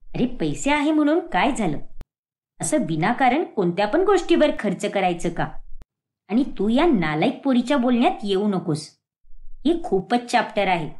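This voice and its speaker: A woman voicing a sharp-tongued, nagging older mother-in-law in an animated moral story. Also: on the high side, pitched below the performer's own voice.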